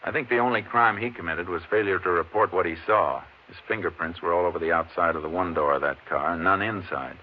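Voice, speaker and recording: Deep, terse radio lawman. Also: a little fast, hardly any pauses. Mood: businesslike. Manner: terse, clipped, pressing questions. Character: stern, methodical Texas Ranger lawman interrogator. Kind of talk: 1950s radio drama acting and narration. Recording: muffled, hissy old radio broadcast.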